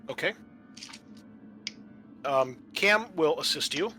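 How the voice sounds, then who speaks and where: A man running a tabletop roleplaying game as game master, narrating informally and haltingly as he improvises the scene. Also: a little high, expressive, pushed and bright, faint music behind.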